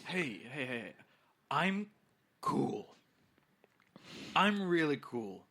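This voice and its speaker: A man playing a drugged-up, loud radio host in a tabletop game, rambling and repeating himself with slurred, drawn-out words. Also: on the high side, big pitch swings, exaggerated voice. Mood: cocky. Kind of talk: improvised role-play in a tabletop game.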